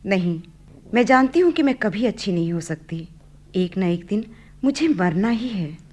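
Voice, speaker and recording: A woman's voice: medium pitch, expressive, moderate pace, darkish tone, lightly acted. A woman acting a bitter, stubborn twenty-year-old sick daughter in a radio play, snapping petulantly. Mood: bitter, despairing.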